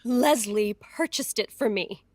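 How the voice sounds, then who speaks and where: An actress playing a timid, polite young former beauty queen, halting and deferential, in a period audio drama. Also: fairly high pitch, dry recording, studio quality, natural voice. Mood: matter-of-fact.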